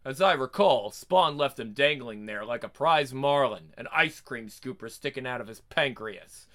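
A man performs a brash police detective from a comic book. The voice is bright and forceful, swinging widely in pitch, with a sardonic, wisecracking delivery and a crude, blustering temper. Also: expressive, moderate pace, natural voice. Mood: sardonic, heated. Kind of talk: comic book dramatic reading.

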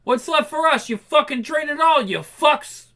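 A man's voice: very high voice, moderate pace, sharp tone, pitched above the performer's own voice, full character voice. A man voicing a furious young male punk singer who sneers and swears at the listener. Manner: snarling, swearing, confrontational.

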